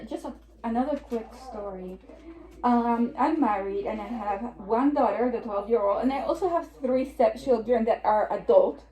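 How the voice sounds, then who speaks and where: A woman in her forties with a Puerto Rican accent gives a seminar talk as an estate planning attorney, chatty and given to joking asides. Her voice sits a little high and carries the echo of a roomy meeting room. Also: dark tone. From New York. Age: 40s. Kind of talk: seminar presentation.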